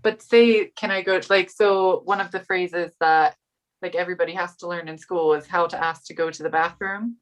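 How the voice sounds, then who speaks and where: A woman's voice: lowish voice, fairly even intonation, normal speed, rough recording. A woman chatting casually as a guest, filling her talk with "like". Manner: chatty, uses "like" a lot. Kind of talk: casual chat.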